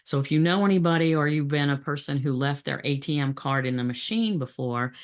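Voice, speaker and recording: A woman's voice: low voice, brisk. A middle-aged woman gives a training presentation on supply chain methods, speaking plainly with frequent fillers and restarts.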